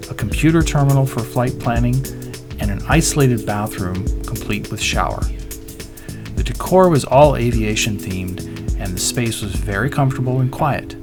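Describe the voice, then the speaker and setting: A middle-aged man reading a scripted voice-over as a pilot narrating an airport review. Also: mid-range voice. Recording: voice-over with music underneath. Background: background music.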